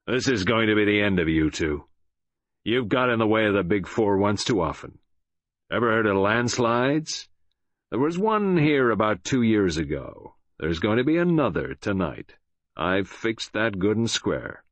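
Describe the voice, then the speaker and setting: A man's voice: fairly low pitch, expressive, a little slow, a bit bright, husky, no echo, heavily acted. A man voicing a cold, gloating American gangster villain in an audiobook, with a menacing drawl. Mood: cold, threatening. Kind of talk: audiobook character voice.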